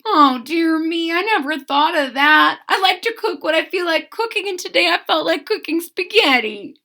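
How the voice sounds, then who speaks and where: A woman reading a storybook aloud, voicing a flustered, kindly male baker who exclaims and frets in a high voice. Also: expressive, normal speed, pushed and bright, full character voice. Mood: fretful.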